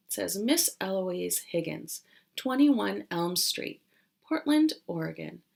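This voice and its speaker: A woman's voice: medium pitch, very animated, slow, creaky, darkish tone. A woman speaking as a kindergarten teacher in a read-aloud lesson, explaining things simply to young children and often asking them questions.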